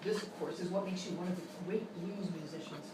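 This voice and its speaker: A woman playing an earnest, courteous radio interviewer in a live comedy sketch, speaking in a formal, measured way. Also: fairly low pitch, close to an everyday voice. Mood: polite, earnest. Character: polite, earnest female radio interviewer, increasingly flustered. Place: live show at a hotel venue. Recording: echoey, noisy live room, distant mic.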